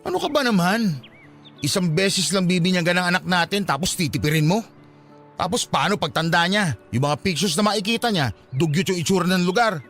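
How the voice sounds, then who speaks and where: A man's voice: high-pitched, expressive, fairly quick, a bit bright, faint music behind. A man playing a boastful, dismissive young Filipino husband and new father, insistent and argumentative, in a radio drama. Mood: irritated, dismissive.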